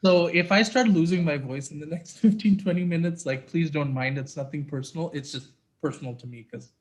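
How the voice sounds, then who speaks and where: A man's voice: a little high, brisk. Earnest man speaking on a video call. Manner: earnest, reflective, devotional tone. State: sore throat. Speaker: guest speaker, interfaith activist. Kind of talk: talk then answering audience questions.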